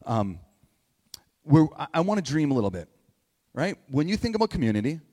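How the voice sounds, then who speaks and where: A man's voice: fairly high pitch, big pitch swings, brisk, warm tone. A man in his forties, a pastor, gives an informal, conversational sermon, often repeating phrases and checking in with his listeners. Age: 40s. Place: large reverberant indoor room, church gathering. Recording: echoey hall with long reverb.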